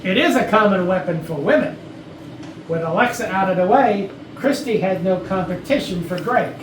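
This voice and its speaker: A woman's voice: medium pitch, lively intonation, normal speed, natural voice. A woman playing a blunt, sardonic investigator in a murder mystery comedy reading, with a dry, probing, assertive manner. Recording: distant mic, echoey noisy room.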